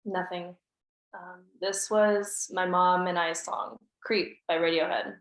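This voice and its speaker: A woman's voice: on the low side, steady tone, slow pace, fairly bright tone. A woman at a script table read playing a guarded, pessimistic teenage girl who speaks in a subdued way. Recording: roomy echo.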